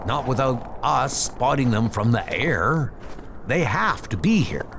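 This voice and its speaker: Slow, animated man acting out comics. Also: medium pitch, gravelly, background noise. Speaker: comic dub performer. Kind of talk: dramatic comic dub reading. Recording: loud background music.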